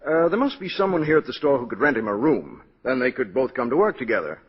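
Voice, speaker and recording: A kindly, reassuring physician in 1940s radio style. His breathy voice sits at an average pitch on a dull, aged recording. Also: big pitch swings, quick pace. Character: reassuring, kindly male doctor.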